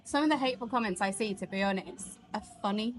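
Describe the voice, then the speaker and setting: A woman's voice: medium pitch, fast talker. A woman interviewing a musician, chatty and upbeat and laughing easily. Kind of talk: casual interview chat.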